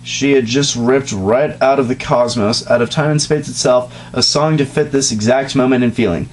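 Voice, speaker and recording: Male amateur story reader, mid-pitched, giggly and crude with casual asides; faint noise and hum behind the voice. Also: normal speed. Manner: self-interrupting, giggly, crude. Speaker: reader of a comedic fan fiction reading. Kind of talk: dramatic reading of fan fiction with casual joking asides. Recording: noisy home recording with hum.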